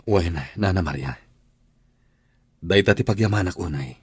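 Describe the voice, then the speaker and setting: An actor playing a stern, bitter husband in an Ilocano radio drama, speaking bluntly and accusingly in a husky Ilocano-accented voice. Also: male voice, sits low, expressive, normal speed, no room sound, clean studio sound, exaggerated voice. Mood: remorseful. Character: bitter, stern husband throwing out his estranged wife.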